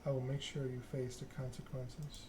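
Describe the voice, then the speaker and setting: A man's voice: mid-range voice, level tone, moderate pace, over music, lightly acted. A man voicing an aloof, scheming female vampire patron from a game, formal and condescending, in an ASMR reading. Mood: coolly bargaining.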